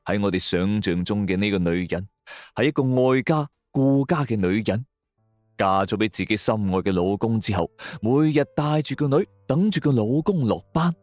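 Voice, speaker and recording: Cantonese man narrating suspense with lively swoops. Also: fairly low pitch, normal speed, dry recording, studio quality. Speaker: storyteller, narrator. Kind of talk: dramatic scripted first-person suspense narration. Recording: music bed under the voice.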